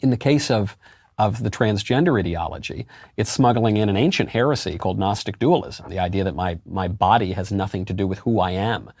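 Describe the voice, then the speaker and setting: A man with a deep voice, a guest in a political interview, arguing emphatically with rhetorical questions and sudden jumps in pitch on key words. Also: a little fast, darkish tone, no room sound, clean studio sound. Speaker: interview guest, conservative commentator.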